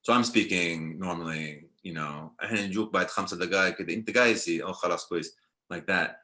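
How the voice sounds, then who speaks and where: Man, young, low pitch, bright tone, relaxed, reflective, filler-heavy, video call panel. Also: racing. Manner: relaxed, reflective, many "like"s and restarts. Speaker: film director and panelist.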